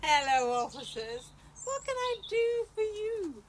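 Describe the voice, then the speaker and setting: A woman's voice: very high voice, sing-song, unhurried, exaggerated voice. A woman playing a haughty, proper, bossy middle-aged British chairwoman in an amateur radio comedy. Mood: gushing, polite. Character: prim, bossy older club chairwoman, indignant and dignified. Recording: faint background noise, home recording.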